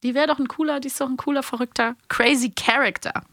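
A woman's voice: high-pitched, steady tone, fast talker, fairly bright tone, no room sound, clean studio sound. A woman hosting a podcast in casual, teasing chat and quiz banter. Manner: playful, teasing. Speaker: podcast host.

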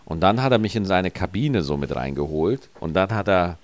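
A man with a regional German dialect and a rolled R, telling stories in a relaxed, joking podcast chat. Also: sits low, moderate pace, no echo. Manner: lively storyteller, joking. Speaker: podcast co-host. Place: studio, feet up on desk.

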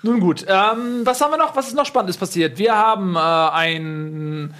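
Man, fairly high voice, football podcast host, casual chat, ironic jokes, lots of fillers. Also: moderate pace, a bit bright. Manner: joking, ironic asides, many fillers.

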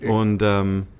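Lively man, low voice. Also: smooth. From Switzerland. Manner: lively, talkative, laughs easily, many fillers. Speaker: podcast guest, musician. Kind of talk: casual chat.

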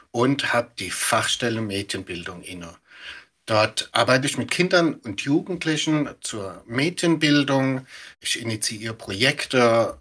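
A man's voice: medium pitch, pushed and bright. A middle-aged man giving explanatory interview answers as an expert guest. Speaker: media educator, podcast guest.

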